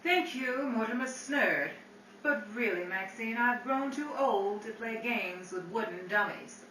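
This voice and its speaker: A woman's voice: fairly high pitch, measured pace, bright, forceful tone. A woman playing a headstrong, sassy 1930s nightclub singer with slangy, cutting retorts, in old-time radio drama style. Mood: haughty, mocking. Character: brassy, headstrong 1930s nightclub singer, female, hard-bargaining. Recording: roomy, distant mic, hissy background.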